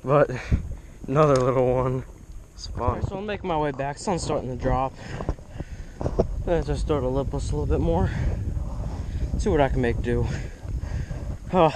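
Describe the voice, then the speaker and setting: A teenage boy talking casually while fishing from a kayak, rambling with lots of fillers, recorded on a head-mounted camera outdoors. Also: medium pitch. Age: teens. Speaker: fishing vlogger. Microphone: head-mounted action camera. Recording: outdoor noise on an action cam.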